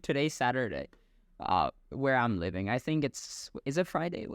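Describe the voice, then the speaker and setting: A young man from Bangladesh, hosting a casual chat in a relaxed, thinking-aloud way. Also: mid-range voice, normal speed, no room sound, studio quality. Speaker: podcast host. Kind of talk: casual online interview chat.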